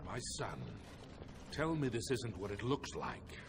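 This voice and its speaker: A man's voice: lowish voice, sing-song, a little slow. A man playing a grave old dwarven king and troubled father in a fantasy game, speaking solemnly and formally. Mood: shocked, grieving. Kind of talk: dramatic fantasy game acting.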